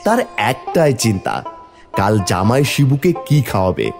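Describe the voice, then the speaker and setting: Lively male fairy-tale narrator. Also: mid-range voice, normal speed, vocal fry. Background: music.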